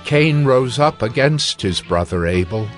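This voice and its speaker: A man narrating scripture from a script in a measured, solemn manner. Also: medium pitch, animated, over loud music.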